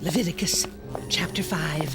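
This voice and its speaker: A woman's voice: on the low side, moderate pace, loud music behind the voice, close to an everyday voice. A woman playing a fervent, preachy older widow and religious zealot in a radio drama, insistent and sermonizing. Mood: pious fervor.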